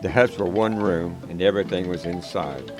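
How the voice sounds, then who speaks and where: Deep-voiced man narrating over music. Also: normal speed. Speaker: storyteller. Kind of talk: scripted first-person narration. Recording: background music.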